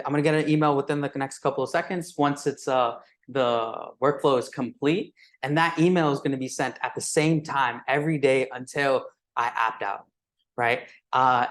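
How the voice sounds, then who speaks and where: A man in his twenties whose first language is Urdu, giving a live software demo at a meetup, halting with many fillers and restarts and tagging points with "right?". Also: medium pitch. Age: 20s. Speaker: teacher presenting at a meetup.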